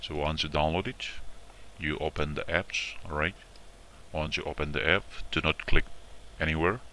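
A man presenting an informal step-by-step software tutorial in a repetitive, instructional way, often checking in with short tags. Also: rumbling low, fairly even intonation, moderate pace, slight background noise.

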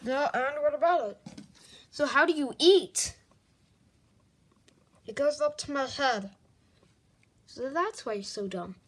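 A woman voicing plush toys in an improvised toy skit, playful with rapid back-and-forth lines. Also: high-pitched. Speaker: plush video maker voicing all the toys. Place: carpeted bedroom floor.

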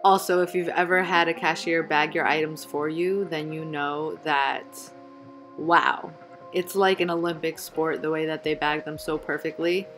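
A woman's voice: deep voice, steady tone, normal speed, fairly bright tone, reverberant, soft background music. A young woman from Puerto Rico chatting casually as a vlogger at home, upbeat and full of "like" and "um". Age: young adult. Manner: chatty, upbeat, lots of fillers like "like" and "um". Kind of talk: casual vlog chat and voice-over. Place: small home kitchen, indoors.

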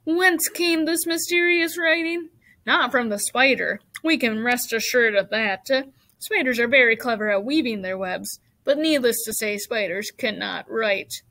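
A woman voicing a formal, booming male fairground announcer in a storybook, with a pompous, declamatory delivery. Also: a little slow, pushed and bright. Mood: grand, ceremonious. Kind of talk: storybook character read aloud.

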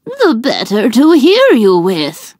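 A crafty, hungry wolf from a fairy tale, acted out by a woman reader. He draws his words out slowly and schemes as he talks. The sound is dry. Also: on the high side, huge pitch swings, a little mellow, no room sound, exaggerated voice. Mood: coaxing. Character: sly wicked male wolf, hungry and crafty.

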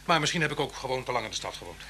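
A man's voice: medium pitch, very animated, rapid-fire, pushed and bright. An actor playing a polite but uneasy man visiting someone, reserved and hesitant, in a naturalistic radio drama. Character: guarded, polite male guest, evasive and uneasy. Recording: noisy old radio drama recording.

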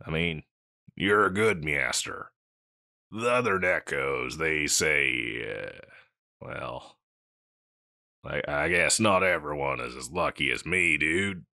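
A man playing a scruffy, insecure slacker catboy pet in a comedic audio roleplay, with a halting, mumbly dude drawl. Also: a bass voice, creaky, bright, forceful tone, no echo, clean studio sound, exaggerated voice. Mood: self-deprecating, resigned.

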